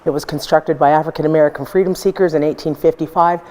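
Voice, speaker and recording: A woman giving a brisk, matter-of-fact informative report in a news clip. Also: low-pitched, fairly even intonation, dark tone. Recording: echoey room with long reverb.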